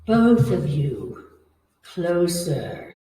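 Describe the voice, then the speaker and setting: A woman playing an eerie old female ghost in an old-time radio horror play, calmly menacing and commanding. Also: lowish voice, faint music behind, exaggerated voice. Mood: ominous calm. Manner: slow, commanding, ominous. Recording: noisy, cavernous echo, far from microphone.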